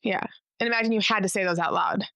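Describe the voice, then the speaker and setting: A young woman hosting a casual podcast chat, talking fast and loose with lots of "like" and a slightly nasal voice. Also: medium pitch, gravelly, sharp tone, dry recording, clean studio sound. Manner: chatty, heavy use of "like", quick asides. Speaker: podcast host.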